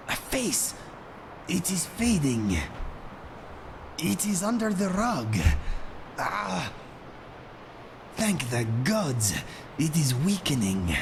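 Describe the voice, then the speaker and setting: A man playing a loyal, gentle cat-man companion in a video game, speaking formally and troubled. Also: high-pitched, vocal fry, background noise, full character voice. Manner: formal speech without contractions, measured. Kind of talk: video game character acting.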